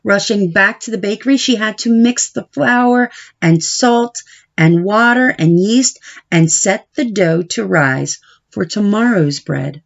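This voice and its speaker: A woman reading a children's picture book aloud as an expressive storyteller. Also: medium pitch, a little slow, gravelly, dull audio.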